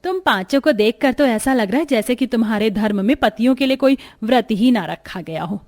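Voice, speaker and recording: A traditional mother-in-law from a cartoon family tale, played by a woman. Her voice sits fairly high. She gives instructions firmly, strict yet caring. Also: lively intonation, brisk, darkish tone. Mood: stern, instructing. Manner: instructing, firm, addresses her daughters-in-law. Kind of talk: cartoon story dialogue.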